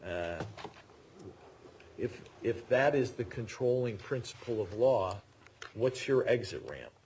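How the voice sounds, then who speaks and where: Male appellate judge, low voice, probing and halting, faint clicks behind. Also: animated, darkish tone, a little hiss or hum. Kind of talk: courtroom questioning.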